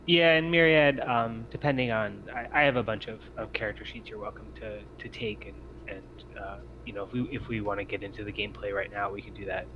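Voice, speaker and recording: Male game master, mid-pitched, casual explainer, muffled mic with clear noise. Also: steady tone, brisk, hardly any pauses.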